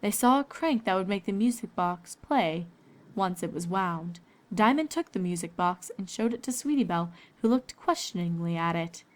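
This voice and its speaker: A woman's voice: fairly low pitch, animated, moderate pace. A woman reading scripted story narration as the narrator of a fan fiction.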